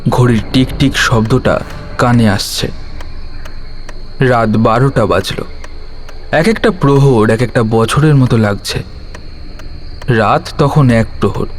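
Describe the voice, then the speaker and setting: Male horror narrator over soft music. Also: medium pitch. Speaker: storyteller, narrator. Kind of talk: scripted horror story narration.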